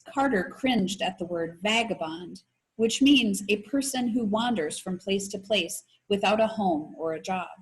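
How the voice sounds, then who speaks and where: A woman reading a children's novel aloud like a teacher, in an expressive, animated storytelling manner. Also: medium pitch, a little slow, rough recording. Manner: animated storytelling with voices for characters.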